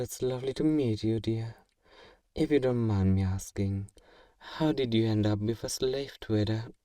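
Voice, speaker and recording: A man playing a kind, courteous vampire gentleman in an ASMR roleplay, speaking gently and reassuringly to the listener in an old-fashioned, genteel way. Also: fairly low pitch, no echo, studio quality. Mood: gentle, comforting. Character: kind, wealthy, genteel male vampire owner, soothing and possessive.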